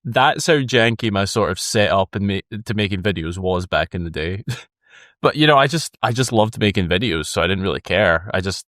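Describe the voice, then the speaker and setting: A man's voice: low-pitched, animated, normal speed, fairly bright tone, no echo, studio quality. A Scottish man in his twenties hosting his own channel, chatting casually and teasing himself with amused asides. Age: 20s. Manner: self-mocking, amused, chatty with restarts. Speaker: YouTube host. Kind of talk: casual reaction commentary.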